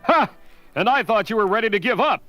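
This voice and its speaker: Casting: defiant, sardonic astronaut leader, animated high register, emphatic old-style audio drama. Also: male voice, a little fast, faint music behind. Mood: grim resolve. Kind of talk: 1970s audio drama acting.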